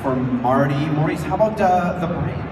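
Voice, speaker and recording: A man moderating a live comedy table read, casually handing out parts with pauses. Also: a little high. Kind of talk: casual, hesitant announcing to panel. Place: large convention hall stage. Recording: echoey hall, distant, crowd and noise.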